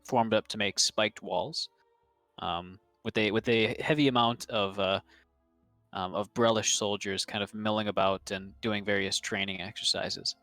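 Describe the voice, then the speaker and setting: A young man narrating as game master, rambling with many pauses and restarts. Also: lowish voice, sharp tone, no echo.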